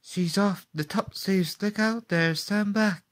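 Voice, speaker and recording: A man voicing frantic onlookers and policemen shouting warnings in a radio play. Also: high voice, takes it slowly, pitched above the performer's own voice.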